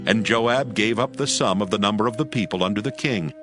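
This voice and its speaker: A man narrating a dramatized scripture as scripted audio, with solemn, measured storytelling in archaic phrasing. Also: fairly low pitch. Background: music.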